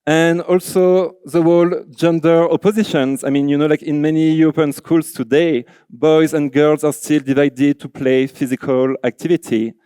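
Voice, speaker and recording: A man with a French accent addresses a conference, earnest and loose, leaning on "like" and "you know". His voice sits a touch high for a man, yet mellow and smooth. The sound is dry and close. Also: flat delivery, moderate pace, hardly any pauses, dry recording. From France. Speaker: conference speaker, designer. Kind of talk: conference talk. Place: large auditorium.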